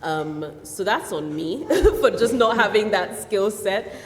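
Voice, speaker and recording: A woman's voice: mid-range voice, noisy background. Chatty woman in an echoey hall. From Nigeria. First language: Yoruba. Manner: animated, quick bursts with many fillers. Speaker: novelist guest. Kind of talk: festival stage conversation. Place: festival hall. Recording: echoey hall with long reverb.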